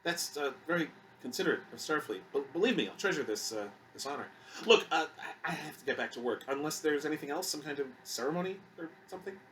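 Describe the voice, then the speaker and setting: A man's voice: on the high side, lively intonation, fast talker, a bit bright, distant mic, slight background noise, voice pushed up. A man voicing a flustered, awkward male civilian who stammers and hesitates, in an audiobook story.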